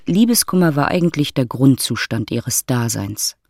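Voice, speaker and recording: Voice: medium pitch, animated, moderate pace, background noise. A narrator reading scripted literary prose for an audio drama. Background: music.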